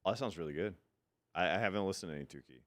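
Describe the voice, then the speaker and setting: A man co-hosting a casual podcast, joking around and counting down a music chart in an animated way. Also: deep voice, rapid-fire. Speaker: podcast co-host.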